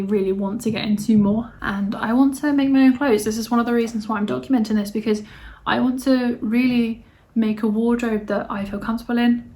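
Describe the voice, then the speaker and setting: A woman, hosting her knitting podcast in a casual, rambling chat full of fillers and restarts, her voice slightly hoarse. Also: mid-range voice, a little mellow.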